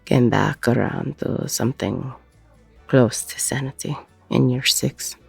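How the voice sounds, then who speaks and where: A woman playing a weary, regretful ex-convict bar owner in an intimate audio roleplay, with a husky, gravelly voice and a reflective delivery full of pauses. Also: very deep voice, dry recording, soft background music, full character voice. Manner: slow, reflective, pausing mid-sentence.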